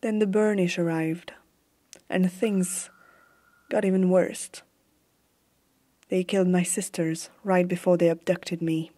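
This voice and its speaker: A woman playing a stern, haunted warrior empress in a hushed, deliberate fantasy ASMR roleplay. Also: on the low side, fairly even intonation. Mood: grave, bitter. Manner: slow, hushed, deliberate dramatic delivery.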